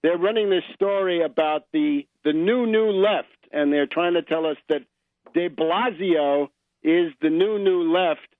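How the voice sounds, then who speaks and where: An American man with a slightly high voice hosts political talk radio. He is opinionated and sardonic, explaining like a professor and checking in with "right?". The sound is narrow and phone-like, with very little room in it. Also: measured pace, no room sound. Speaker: radio show host and political commentator.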